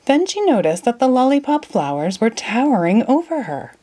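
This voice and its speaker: Female storyteller for a children's picture book: scripted, expressive, with lively intonation. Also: on the high side, moderate pace. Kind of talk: children's storybook read-aloud, scripted narration.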